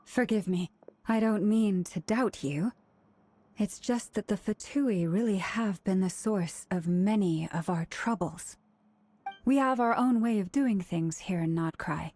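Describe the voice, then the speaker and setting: An actress playing an earnest, cautious and polite young woman in a video game, speaking gently. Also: mid-range voice, close to an everyday voice. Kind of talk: video game character acting. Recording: faint background music.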